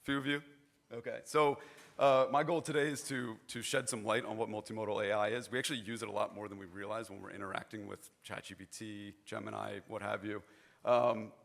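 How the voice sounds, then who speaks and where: A hoarse young man giving a chatty, informal conference presentation on stage, often restarting his phrases. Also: lowish voice, fairly even intonation, a little fast, fairly bright tone.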